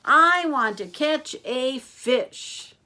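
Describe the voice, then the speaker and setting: A woman voicing a cocky, eager little girl in a storybook, loud and emphatic. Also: high voice, lively intonation, drawn-out words, pitched above the performer's own voice, full character voice. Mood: impatient, eager.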